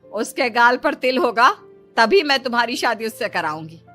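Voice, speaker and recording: A woman acts out a middle-aged mother in a cartoon family story. She is high-pitched, eager and stubbornly insistent, over light music. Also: lively intonation, normal speed, a bit bright.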